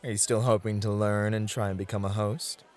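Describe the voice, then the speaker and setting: A man's voice: on the low side, little pitch movement, brisk, close to an everyday voice. A man playing a charming, gallant club host in an ASMR roleplay, flirty and teasing in an effusive, courtly way. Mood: warm, teasing.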